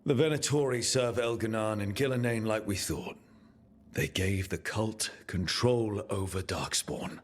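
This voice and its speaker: An actor playing a steady, reasonable and supportive team leader in a fantasy game. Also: male voice, lowish voice, unhurried. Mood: steady, decisive.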